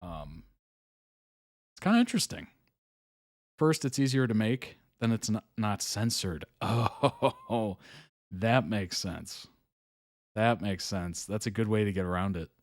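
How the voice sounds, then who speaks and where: A man hosting a live reaction stream, chatting casually, reading chat messages aloud, with frequent ums and soft chuckles. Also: on the low side, expressive, measured pace, pauses often, no echo, clean studio sound. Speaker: host reacting to videos live.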